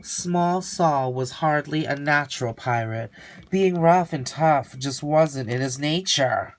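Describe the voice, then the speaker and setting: Slow-talking man reading a children's story. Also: fairly high pitch. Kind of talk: expressive storybook narration.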